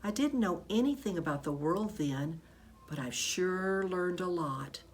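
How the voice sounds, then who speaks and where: A woman reading a children's book aloud in expressive storybook narration, like a teacher. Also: on the low side, measured pace, slight background noise.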